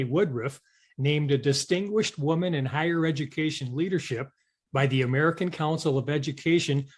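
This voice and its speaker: Higher-pitched man hosting an interview. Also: breathy edge. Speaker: show host, interviewer. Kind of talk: scripted interview questions, radio-style.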